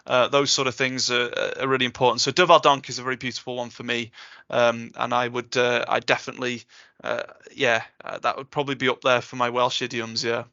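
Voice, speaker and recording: Brief: enthusiastic male tutor, bright forceful tone, dull lo-fi call audio. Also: medium pitch, flat delivery, a little fast, no echo. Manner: enthusiastic, jokey, reassuring. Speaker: guest speaker, Welsh tutor. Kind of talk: casual Q&A chat.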